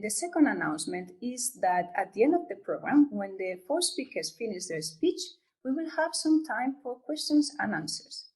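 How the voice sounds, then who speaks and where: A woman's voice: mid-range voice, low-quality recording. Spanish-accented woman hosting an online event. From Spain. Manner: halting, many fillers and tag "okay?". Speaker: event host. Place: home or office room. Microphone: video call.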